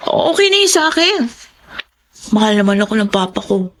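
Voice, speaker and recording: A woman's voice: medium pitch, sing-song, normal speed, fairly bright tone, slight background noise. An actress playing a sweet, playful eighteen-year-old Filipino daughter devoted to her papa, in a radio drama. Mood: earnest, tender.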